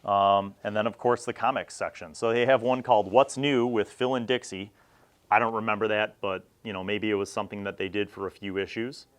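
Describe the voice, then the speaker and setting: A man's voice: fairly low pitch, steady tone, brisk. A middle-aged man hosting a casual review, talking in an enthusiastic, emphatic and conversational way. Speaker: host of a tabletop gaming review series.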